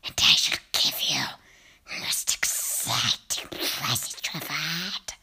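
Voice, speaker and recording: A sinister storybook witch, as a woman reader puts her on, speaking in a slow, hushed whisper with a rasping edge. She coaxes a child with false sweetness, and her voice sinks low and breathy. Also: sing-song, heavily acted. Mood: sly coaxing. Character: sinister grinning female witch, coaxing a child. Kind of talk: storybook reading.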